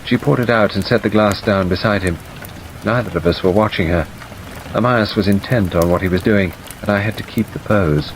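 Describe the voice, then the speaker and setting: Low-voiced male audiobook narrator, rain behind. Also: moderate pace, poor audio. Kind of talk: scripted dramatic narration. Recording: steady rain noise over the voice. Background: rain ambience.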